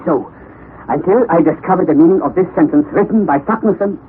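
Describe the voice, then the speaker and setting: A man playing an excitable eccentric old-school professor. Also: on the high side, brisk, natural voice. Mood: eager. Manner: excitable, scholarly, exclamatory. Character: eccentric, impetuous middle-aged male professor. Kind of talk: 1960s radio drama acting. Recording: tinny lo-fi vintage radio broadcast with hiss.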